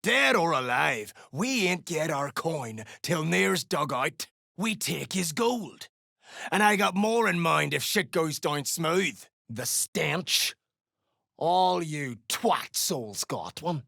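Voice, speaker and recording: Fantasy game gang boss, female duergar: growling, slangy, menacing, unhurried, with very animated pitch swings. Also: no echo, studio quality, full character voice. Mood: gruff, scheming. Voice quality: gravelly, growling. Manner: gruff, crude, slangy, threatening. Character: foul-mouthed, menacing duergar mercenary gang boss, greedy for coin. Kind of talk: video game character dialogue.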